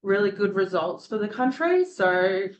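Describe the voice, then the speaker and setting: A woman with a loud, projecting voice gives a prepared presentation to an audience as a student speaker. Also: medium pitch, very animated, a little slow, lo-fi sound, a little hiss or hum.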